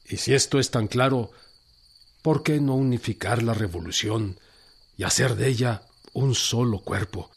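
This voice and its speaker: An actor playing a Mexican revolutionary leader, with solemn, declamatory oratory in a radio drama. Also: male voice, mid-range voice, unhurried, vocal fry, breathy edge. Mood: earnest, formal. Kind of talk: radio drama historical speech.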